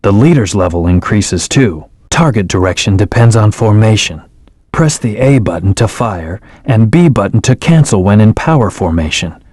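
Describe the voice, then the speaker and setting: An actor voicing an aloof male hedgehog hero in a video game, giving calm, terse commands and hints. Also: on the low side, normal speed, no room sound, clean studio sound. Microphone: studio microphone.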